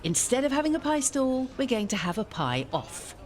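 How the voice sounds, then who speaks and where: A woman's voice: high voice, sing-song, normal speed. A woman acting an exasperated, wry village vicar in a radio soap, with a dramatic, sardonic delivery. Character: exasperated, wry female village vicar venting about a rival.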